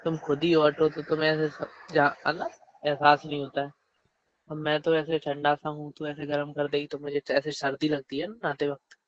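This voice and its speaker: Dull phone-call recording, flirty even-toned man. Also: a little high, moderate pace, no echo. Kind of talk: flirtatious casual phone chat.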